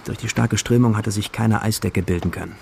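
A man's voice: fairly low pitch, fairly even intonation, a little fast, creaky, darkish tone, a little hiss or hum. An actor playing a young male wanderer who recounts his journey reflectively in a first-person radio drama. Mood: observant, uneasy. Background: music.

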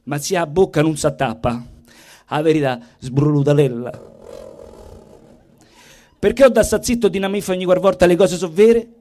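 A man with a Roman accent performing theatrical comic storytelling in an expressive, colloquial, declamatory way. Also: fairly high pitch, normal speed, long pauses. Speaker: stage performer, monologist and singer. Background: plucked guitar.

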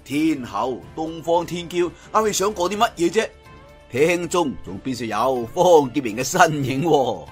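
A man's voice: a little high, animated, a little slow, faint music behind. A man with a Cantonese accent narrating a martial arts tale in a theatrical, colloquial storytelling style. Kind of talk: dramatic scripted wuxia story narration.

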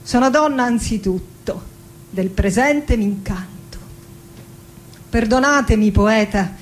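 A woman's voice: fairly high pitch, takes it slowly, slight background noise, poor audio. An actress playing a proud, passionate, defiant woman poet from Argentina in a theatrical monologue, declaiming dramatically and lingering on her words. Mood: proud, mocking. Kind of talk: theatrical poetic monologue with music. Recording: voice over live musical accompaniment. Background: music with accordion and guitar.

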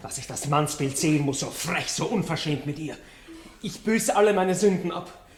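A man as a fiery young nobleman, high-voiced. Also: lively intonation, moderate pace, natural voice. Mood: seething. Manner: seething, refined, impetuous. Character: hot-headed, gallant, jealous young nobleman. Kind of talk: radio play acting. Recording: roomy old radio recording with hiss.

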